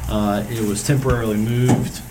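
A man's voice: medium pitch, steady tone, moderate pace. A man on township staff reporting at a public board meeting, hesitant with many fillers and restarts. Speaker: township zoning staff member. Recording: distant mic, noisy room.

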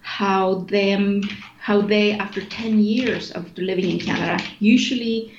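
A woman's voice: medium pitch, fairly even intonation, a little slow. Chilean-born woman explaining at length. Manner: thoughtful, explanatory, with frequent fillers and restarts. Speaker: guest, executive director of an obesity organization. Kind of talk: casual interview answers. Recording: echoey room, dull lo-fi sound, faint noise.

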